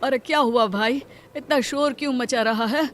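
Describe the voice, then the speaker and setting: A woman voicing a caring, brave village husband in an animated moral story, earnest and protective. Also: sits high, moderate pace, slight background noise. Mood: alarmed, determined.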